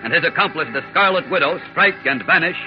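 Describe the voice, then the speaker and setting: Vintage serial announcer, male, grand and suspenseful, slightly high voice over loud music. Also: fast. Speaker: announcer and narrator. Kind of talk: dramatic 1940s radio serial narration. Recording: muffled old radio recording. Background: loud dramatic music.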